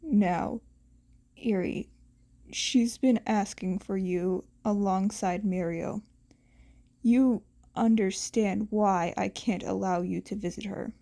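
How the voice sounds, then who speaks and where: A woman voicing a stern male hero and teacher in a story, curt, deadpan and gruff. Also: medium pitch, takes it slowly, pitched below the performer's own voice.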